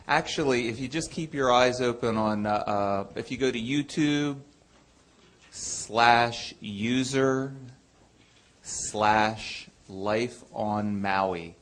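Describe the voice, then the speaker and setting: A man making a casual, enthusiastic announcement, spelling things out slowly. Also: on the low side, a bit bright, a little hiss or hum. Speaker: audience member making an announcement.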